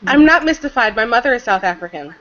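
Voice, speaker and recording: Bright-voiced woman on a lo-fi call. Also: on the high side, lively intonation, quick pace. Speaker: projects and social media coordinator. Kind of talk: casual online meeting chat. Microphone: video call. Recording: dull lo-fi video call audio.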